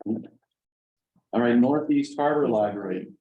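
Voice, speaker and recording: A man chairing a town selectboard meeting, reading agenda items in a brisk, procedural way. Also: medium pitch. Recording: roomy lo-fi meeting recording.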